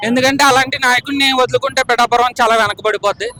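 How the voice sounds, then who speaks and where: A man's voice: extremely high pitch, steady tone, quick pace, sharp tone, faint music behind, low-quality recording. A man with a coastal Andhra Telugu accent speaking insistently in an impromptu street interview. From I. Polavaram mandal, Andhra Pradesh, India. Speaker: party supporter giving street interview.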